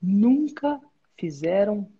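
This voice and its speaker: A man's voice: high voice, sing-song, drawn-out words, warm tone, husky, muffled recording. A man hosting a live coaching session, giving direct, instructive advice to students. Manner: direct, instructive, uses sports-belt metaphors. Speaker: business mentor and host.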